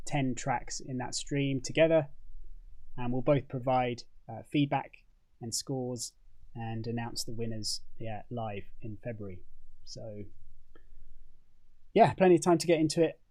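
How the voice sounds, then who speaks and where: A British man hosting a relaxed livestream, talking casually into a condenser mic with plenty of fillers and restarts. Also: medium pitch. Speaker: host.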